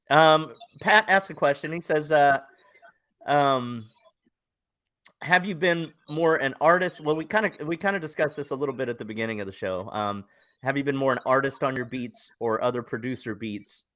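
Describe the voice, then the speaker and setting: A man's voice: mid-range voice, lively intonation, normal speed, fairly bright tone. A man hosting a casual interview show, relaying viewer questions in an easygoing way. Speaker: show host reading chat questions.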